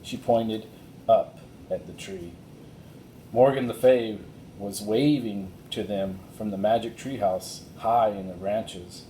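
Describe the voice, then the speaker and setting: A man's voice: takes it slowly, mellow. A man narrating a children's storybook as a reader, recorded in an echoey room. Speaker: audiobook reader. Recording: roomy echo, faint rumbling noise.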